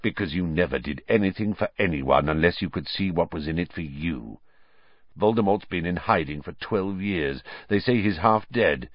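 Guy doing a low menacing growly fugitive man in a storybook, scornful and taunting, slightly muffled. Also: expressive, no echo. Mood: contemptuous. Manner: slow, taunting, contemptuous. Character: bitter, menacing adult male fugitive, vengeful and scornful.

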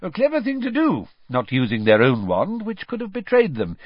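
A man voicing a kind, reasonable middle-aged wizard who speaks calmly and patiently. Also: high voice, moderate pace, no room sound, highs cut off, pitched above the performer's own voice, heavily acted. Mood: calm reasoning. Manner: calm, patient, gently persuasive.

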